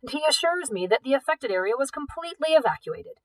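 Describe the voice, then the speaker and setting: A woman's voice: sits high, sing-song, fast talker, no echo, pitched above the performer's own voice, rough recording. A woman voicing a pompous male museum director from a storybook, formal and full of authority. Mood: smugly reassuring.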